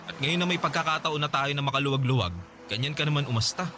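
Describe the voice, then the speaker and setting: A man's voice: a little high, fast talker, noisy background, lightly acted. A man with a Filipino accent acting an emotional, confrontational husband and dutiful son in a radio drama, with music behind him. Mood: angry, reproachful. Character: defensive adult Filipino husband, dutiful son to ailing mother. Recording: background music under the voice.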